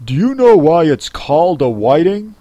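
A reader voicing a brusque, impatient and solemn beast in a storybook, speaking forcefully. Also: medium pitch, sing-song, normal speed, dry recording, muffled recording, pitched above the performer's own voice. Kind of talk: storybook character reading.

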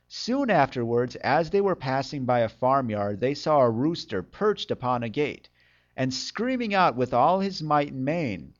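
A man narrating a fairytale as a storyteller, with expressive delivery. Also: mid-range voice, a little slow, muffled recording.

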